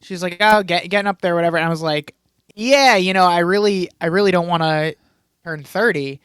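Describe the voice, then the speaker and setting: A man's voice: high-pitched, brisk, fairly bright tone, clear, resonant tone, no echo, clean studio sound. A man in his late twenties, a podcast co-host telling a story in casual chat, acting out what others said with lots of laughs. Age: 20s. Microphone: podcast microphone.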